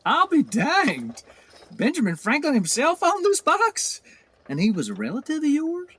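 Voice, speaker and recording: A man's voice: extremely high pitch, huge pitch swings, measured pace, a bit bright, full character voice. A man playing a friendly, gullible frontier fellow with a rural American accent, folksy and polite, in an audio drama. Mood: impressed, curious.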